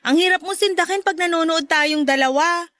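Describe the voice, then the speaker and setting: A woman's voice: very high-pitched, fairly quick, a bit bright, no echo, studio quality. A woman playing a playful, teasing girlfriend and mother in her thirties in an audio drama. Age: 30s. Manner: teasing, conversational. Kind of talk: Tagalog audio drama dialogue.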